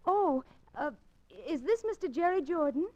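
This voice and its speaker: A woman's voice: very high-pitched, animated, moderate pace, a little mellow, no room sound. An actress playing an anxious, determined woman undercover in a 1950s radio suspense drama, with coy, hesitant delivery. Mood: coy, flustered. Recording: dull 1950s radio recording.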